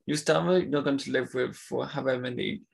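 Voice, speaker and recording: A man's voice: mid-range voice, a little fast, creaky. A man who stammers, talking casually and openly with many fillers. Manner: stammers, talks openly about it. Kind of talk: casual conversation.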